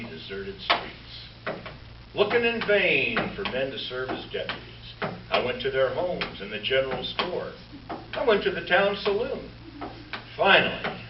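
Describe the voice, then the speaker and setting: A roomy, noisy stage recording of a man playing an earnest Western lawman in an old radio comedy. His delivery is deliberate and dramatic, swinging widely in pitch as he narrates his troubles and turns exasperated. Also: distant mic, dull audio. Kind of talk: 1940s radio comedy acting.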